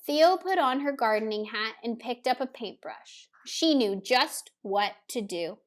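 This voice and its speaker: Very slow woman reading a children's story. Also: a little high, animated. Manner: deliberate, careful phrasing for young children. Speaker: storybook reader. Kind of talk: children's story read-aloud, scripted narration.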